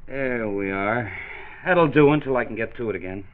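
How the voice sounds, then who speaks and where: Actor as animated, easygoing 1940s radio dad. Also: male voice, medium pitch, normal speed, close to an everyday voice. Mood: placating. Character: amiable, easygoing young husband, handyman father. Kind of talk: 1940s radio drama acting. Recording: thin, tinny 1940s radio recording.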